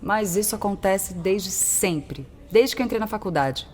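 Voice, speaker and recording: Brief: actress as a thoughtful physicist woman in a radio play, lively pitch, long reverb. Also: unhurried, natural voice. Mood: reflective. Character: adult woman physicist recalling her path. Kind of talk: radio drama acting.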